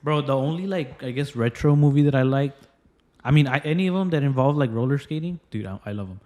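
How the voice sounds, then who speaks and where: A man's voice: medium pitch, quick pace, a little mellow. Slangy young man chatting on a podcast. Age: 20s. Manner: slangy, repetitive, agreeing bro talk. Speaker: podcast co-host. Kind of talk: casual podcast chat with a friend.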